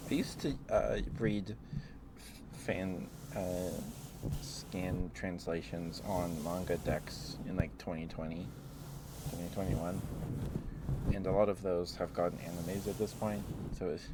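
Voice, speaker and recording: A man with a very low voice rambles through a casual ASMR stream. He speaks slowly and hesitantly, circling around his words. Clear background noise sits behind him. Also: fairly even intonation.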